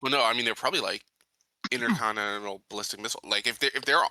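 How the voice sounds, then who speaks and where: A man's voice: mid-range voice, lively intonation, rapid-fire, sharp tone, dry recording. A man chatting casually as a player in a tabletop game. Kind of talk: casual chat.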